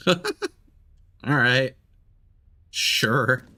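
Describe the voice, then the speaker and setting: A man streaming a video game, talking through it in an expressive, playful way with casual swearing. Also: mid-range voice, extremely slow. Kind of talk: gameplay stream commentary with dramatic reading aloud of in-game documents.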